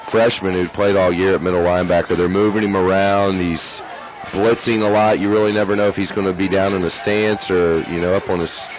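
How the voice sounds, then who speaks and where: A man doing color commentary on a high school football game, chatty with lots of fillers, his voice worn from shouting. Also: flat delivery, fairly quick, low-quality recording. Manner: chatty, filler-heavy explaining. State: losing his voice. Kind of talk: analytical sports color commentary.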